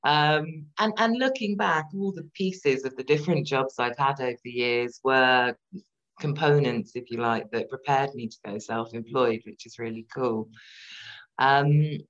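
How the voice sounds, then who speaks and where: A woman's voice: a bass voice, unhurried. Reflective British woman, slightly muffled. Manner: reflective, many ums and restarts. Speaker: guest entrepreneur. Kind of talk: podcast interview.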